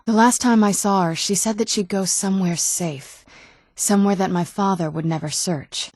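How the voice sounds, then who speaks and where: A woman voicing a guarded, wounded young vampire noblewoman in a video game, with dry understatement and hesitant pauses. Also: medium pitch, darkish tone, no room sound, close to an everyday voice. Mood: wistful, sad. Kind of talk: video game character dialogue. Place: dry studio recording.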